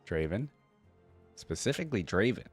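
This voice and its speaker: A man's voice: on the low side, big pitch swings, moderate pace. A man hosting a reaction video, commenting casually and musing aloud in an informal way. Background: faint music.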